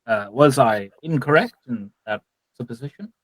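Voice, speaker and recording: A man voicing a pompous, fawning village priest in a tabletop game, with halting, formal, unctuous speech. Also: mid-range voice, expressive, no room sound, lightly acted. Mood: formal, courteous.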